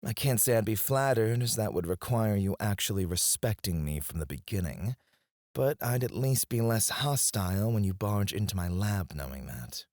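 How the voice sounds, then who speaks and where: A man's voice: on the low side, expressive, normal speed, darkish tone, no room sound, studio quality. A man playing a cocky, flirty royal alchemist in an intimate fantasy ASMR roleplay, teasing and goading a rival with sardonic mock politeness. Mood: sly, flirtatious.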